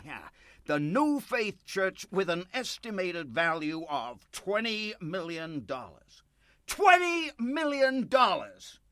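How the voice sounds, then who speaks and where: Proud, down-and-out storekeeper in his late sixties played by a male actor, radio drama; slow delivery, very wide pitch range, volatile temper. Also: dry recording, heavily acted. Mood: sarcastic, confrontational. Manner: swings from loud indignation to hushed despair. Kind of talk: radio play acting.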